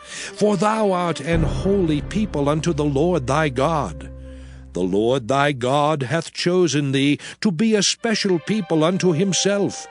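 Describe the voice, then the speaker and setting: Slow, grave male scripture reader. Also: on the high side, a little mellow. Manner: slow, grave, emphatic declamation. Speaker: narrator. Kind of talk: dramatized King James scripture reading.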